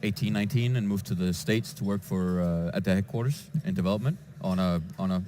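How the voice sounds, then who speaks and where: A man from Denmark, a database developer answering interview questions in a conference lounge, with frequent halting restarts. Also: deep voice, warm tone, a little hiss or hum. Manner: hesitant, with many restarts and fillers.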